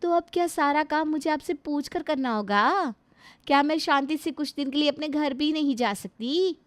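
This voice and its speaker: A woman voicing a rude, sharp-tongued young daughter-in-law who scolds her mother-in-law. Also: a little high, a little fast, dry recording, close to an everyday voice. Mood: scolding. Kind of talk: Hindi family drama acting.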